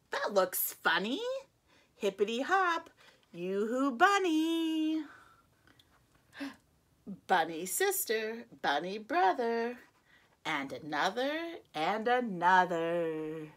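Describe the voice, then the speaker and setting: A woman preschool teacher reads a picture book to little children, drawing out every line very slowly. Her voice swoops widely in pitch, sing-song and playful, with gasps as she turns each page. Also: big pitch swings. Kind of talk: reading a picture book aloud to young children.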